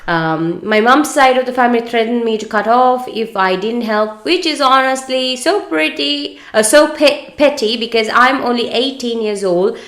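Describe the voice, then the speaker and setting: A woman co-hosting a podcast, speaking casually in a halting, stumbling way. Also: fairly high pitch, fairly bright tone. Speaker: podcast co-host. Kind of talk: reading a story aloud and casual discussion. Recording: echoey room.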